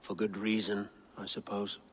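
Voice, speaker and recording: A man's voice: on the low side, little pitch movement, normal speed, slight background noise, natural voice. A man playing an anxious Anglo-Saxon noble and father in a video game cutscene. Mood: measured, rueful.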